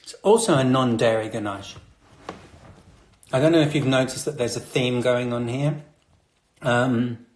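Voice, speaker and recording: A man from Britain chats casually about his chocolates, chatty and a little nervous, with frequent ums. His voice sits in an ordinary middle pitch. The room around him gives a noticeable echo. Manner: chatty, nervous, many fillers. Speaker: chocolatier host. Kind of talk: casual live chat. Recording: roomy echo.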